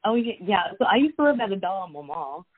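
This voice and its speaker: A woman's voice: mid-range voice, very animated, fast talker, crowd noise. A young woman from Los Angeles, a podcast guest chatting casually with lots of fillers and restarts. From Los Angeles, USA. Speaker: podcast guest, social media creator. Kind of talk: casual interview chat. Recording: tinny phone-like sound.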